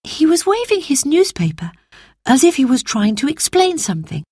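A woman voicing a thoughtful, sensitive young girl, the eldest sister in a storybook reading, with a soft, wistful manner. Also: sits high, very animated, normal speed, no room sound, studio quality, pitched above the performer's own voice. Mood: puzzled.

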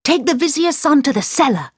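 A woman's voice: high voice, very animated, a little fast, no room sound, studio quality, voice pushed up. A woman voicing an eager, commanding young man hero in a storybook reading.

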